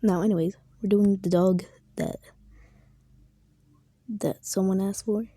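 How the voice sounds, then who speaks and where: A woman's voice: medium pitch, steady tone, unhurried, breathy edge, dry recording. A young woman chatting casually while she draws, often half-whispering and putting herself down. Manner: soft, often whispering, self-deprecating. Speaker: drawing video creator. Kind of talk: casual chat while drawing.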